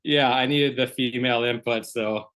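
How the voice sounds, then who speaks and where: A man's voice: medium pitch, steady tone, normal speed, a bit bright. A man speaking as a host, chatting casually and making introductions. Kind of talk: casual chat.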